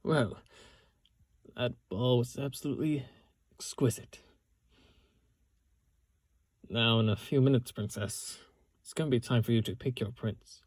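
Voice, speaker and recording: A man playing a loyal young knight in love with his princess, speaking gently and earnestly with halting pauses in a romantic audio roleplay. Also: medium pitch, dry recording, clean studio sound, close to an everyday voice. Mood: torn, sorrowful. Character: loyal young knight, the princess's tender childhood-friend lover. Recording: orchestral music behind the voice.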